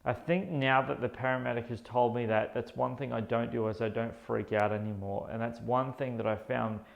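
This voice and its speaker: A man in his thirties, sharing his own story in an earnest, halting way, often restarting his words, filmed indoors under LED lights. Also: medium pitch, steady tone, creaky, lo-fi sound. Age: 30s. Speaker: vlogger. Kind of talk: personal health vlog.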